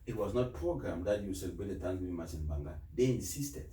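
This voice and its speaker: A man's voice: deep voice, expressive, fast, dark tone. A man conducting a conversational interview as the interviewer. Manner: echoes and completes the guest's phrases. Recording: echoey room, distant mic, faint noise.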